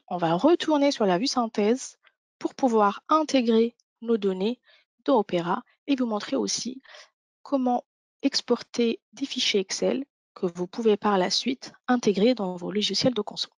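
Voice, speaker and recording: A woman's voice: a little high, expressive, moderate pace, no echo. A woman presenting an instructional webinar walkthrough, explaining each thing step by step. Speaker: software demo presenter.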